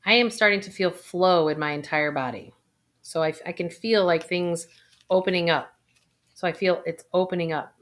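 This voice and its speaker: A woman in her forties, a financial coach, leading a slow guided intuition exercise from a small room at a webcam. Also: on the low side. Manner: slow, soothing guidance with pauses. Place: small indoor room, plain backdrop.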